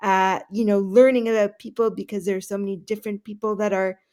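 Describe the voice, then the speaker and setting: A woman's voice: medium pitch, flat delivery, quick pace, darkish tone. A woman whose first language is Russian, answering podcast interview questions earnestly, with many fillers and restarts. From Canada. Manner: earnest, with frequent fillers and self-corrections.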